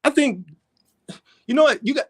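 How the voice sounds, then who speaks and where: A man's voice: very high-pitched, animated, normal speed. A man in his forties, a guest on a casual podcast chat, talking in a laid-back, reflective way with lots of "you know what I'm saying.". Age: 40s. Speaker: guest, fellow YouTuber.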